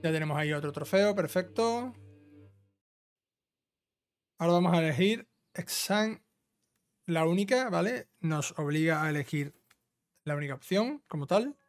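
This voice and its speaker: A man's voice: fairly high pitch, lively intonation, moderate pace, pauses often. A man giving a casual, instructional walkthrough of a video game, often adding short check-in tags. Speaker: gaming YouTuber giving a trophy guide. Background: background music.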